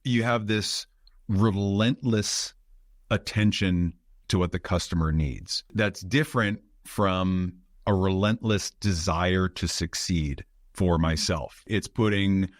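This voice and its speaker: Low-voiced man hosting a reflective interview. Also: slow pace, no echo, clean studio sound. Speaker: podcast host, interviewer. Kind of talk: conversational interview.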